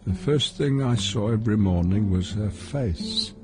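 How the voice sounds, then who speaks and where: Slow-speaking, meek old man played by an actor. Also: lowish voice, music in the background, natural voice. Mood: bleak, reflective. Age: older. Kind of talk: radio play acting, with reflective monologue.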